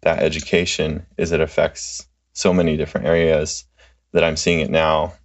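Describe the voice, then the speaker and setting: A man in his thirties, a social worker, answering interview questions in a relaxed, halting way with many fillers. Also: very deep voice, highs cut off. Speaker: guest, clinical social worker.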